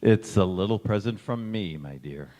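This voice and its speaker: A man's voice: lowish voice, expressive, normal speed, dark tone, close to an everyday voice. A man playing a mild, devoted husband, theatrical and soothing, in a stage radio play. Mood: affectionate, coaxing. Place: large hall, live stage performance. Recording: echoey hall with long reverb.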